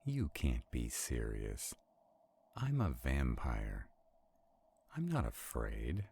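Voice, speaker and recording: A man playing an arrogant, protective centuries-old vampire in a roleplay audio drama, haughty and brooding, with a gravelly, husky voice. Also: extremely low pitch, huge pitch swings, takes it slowly, darkish tone, heavily acted. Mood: protective, worried.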